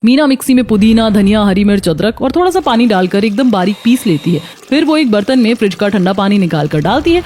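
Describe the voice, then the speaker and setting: A woman's voice: medium pitch, lively intonation, fast talker, hardly any pauses, darkish tone, a little hiss or hum. A woman narrating a scripted children's moral story.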